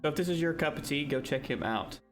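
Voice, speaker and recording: A man's voice: a little high, animated, fast, faint music behind. A man introducing his gaming video in a casual, conversational style.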